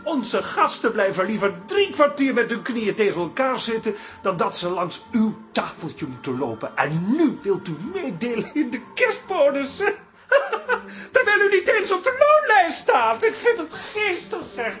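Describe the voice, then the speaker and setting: A scornful boss in a radio comedy. The delivery swoops widely in pitch, derisive and condescending, over faint music. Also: male voice, very animated, measured pace, a bit bright, exaggerated voice. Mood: mocking. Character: mocking, scornful boss refusing a bonus. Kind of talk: radio comedy drama acting.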